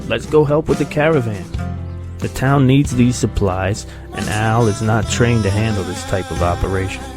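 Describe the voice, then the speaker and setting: Man playing an earnest, low-voiced loyal comrade. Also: moderate pace, over music, close to an everyday voice. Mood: urging. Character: earnest, pushy male comrade, loyal and candid. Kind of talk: naturalistic audio drama acting.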